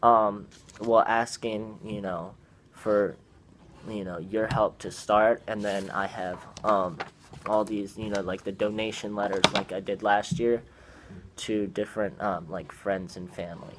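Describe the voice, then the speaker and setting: A man's voice: on the low side, fairly even intonation, unhurried, background noise. A young man talking casually at a meeting, hesitant, with many fillers and restarts. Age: young adult. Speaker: young man asking for trip support.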